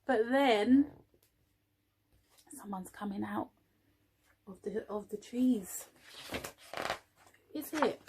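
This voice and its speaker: A woman reading a picture book aloud to young children, expressively, pausing for little asides to the listeners. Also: mid-range voice, warm tone, slight background noise. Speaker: early years teaching assistant reading a storybook.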